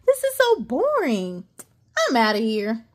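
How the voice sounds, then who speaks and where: A woman's voice: on the high side, wildly swooping pitch, unhurried. A woman voicing a sassy, bold young female fairy who speaks her mind and brushes off warnings in a storybook read-aloud. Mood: bored. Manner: outspoken, dismissive, sassy.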